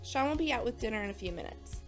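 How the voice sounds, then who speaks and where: A woman's voice: on the high side, lively intonation, fast talker, faint music behind, close to an everyday voice. A woman playing a polite, welcoming hostess in her early twenties in an audiobook drama.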